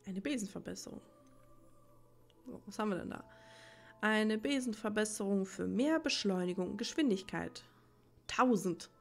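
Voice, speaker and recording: Woman doing chill gameplay commentary, average pitch, rambles to herself, soft music in the back. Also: expressive, moderate pace, gravelly, long pauses. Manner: musing aloud, rambling. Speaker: let's play host. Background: faint game music.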